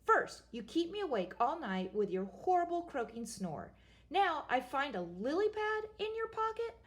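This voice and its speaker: A fed-up young princess who nags her husband without letup, played by a woman. She whines in a high, lively voice. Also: normal speed. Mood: nagging. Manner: complaining, whining. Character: nagging, whiny young princess.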